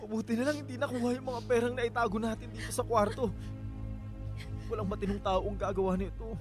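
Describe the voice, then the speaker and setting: An actor playing a bitter, jealous husband in a Filipino radio drama, curt, sarcastic and accusing. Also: male voice, very high-pitched, flat delivery, fairly quick, over music. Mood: alarmed.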